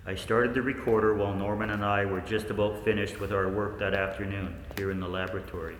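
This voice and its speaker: A man playing a haunted researcher looking back, narrating a 1940s radio play in an intimate, deliberate, ominous way. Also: on the low side, flat delivery, natural voice. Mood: measured, explanatory. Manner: intimate, deliberate, knowing, ominous direct address. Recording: echoey hall, long reverb, faint noise.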